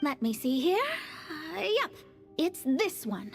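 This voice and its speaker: A woman's voice: sits high, sing-song, slow, heavily acted. A woman playing a proud, irritable young astrologer with a haughty, theatrical manner in a video game. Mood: matter-of-fact. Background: game music.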